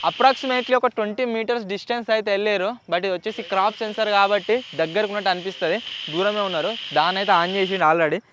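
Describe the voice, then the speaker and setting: High-voiced, quick-talking Telugu man. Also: steady tone, lo-fi sound, over music. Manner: chatty, explanatory, sprinkles English words into speech. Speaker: YouTube host. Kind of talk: casual unboxing and testing vlog.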